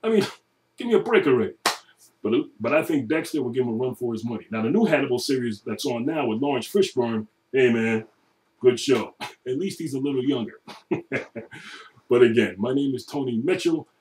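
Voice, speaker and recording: A middle-aged man recording a chatty, joking audition, laughing often and now and then dropping into a booming announcer voice. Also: expressive, brisk, darkish tone, recorded from a distance, poor audio. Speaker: voice-over audition. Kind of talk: self-made audition video.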